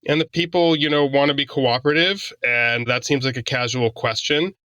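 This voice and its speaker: A man's voice: medium pitch, moderate pace, pushed and bright, no echo. A man speaking as a guest expert, explaining in a casual, conversational way. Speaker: law professor, podcast guest.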